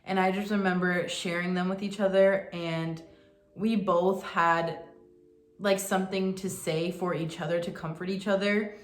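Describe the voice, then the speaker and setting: A young woman talking casually and earnestly to her viewers, sometimes in quick bursts. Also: on the low side, fairly even intonation, echoey room, faint music behind. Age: young adult. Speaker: vlogger. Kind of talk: casual chat to camera.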